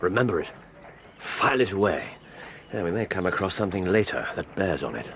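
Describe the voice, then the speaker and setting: An English actor playing a brilliant, brisk Victorian detective in a radio drama, clipped, musing and dryly ironic. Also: male voice, low-pitched, very animated, a bit bright, slight background noise, full character voice. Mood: brisk, instructive. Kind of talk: British radio drama acting.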